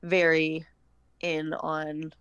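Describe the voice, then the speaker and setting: A woman's voice: low-pitched, completely flat delivery, very slow, a bit bright, clean tone, dry recording, highs cut off. A woman, chatting casually as a guest on a homeschooling podcast. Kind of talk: casual chat.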